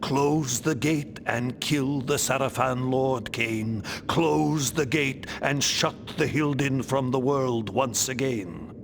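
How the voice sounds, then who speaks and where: Slow, solemn ancient vampire elder. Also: male voice, medium pitch, vocal fry, background noise. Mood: grave. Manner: measured, formal, portentous delivery. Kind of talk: theatrical fantasy game cutscene acting.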